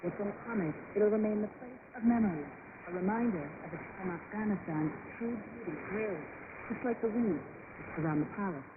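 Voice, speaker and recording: Woman, radio news reporter, scripted narration, thin narrow-band shortwave sound, loud static. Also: mid-range voice, fairly quick. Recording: noisy band-limited shortwave radio broadcast. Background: heavy shortwave static.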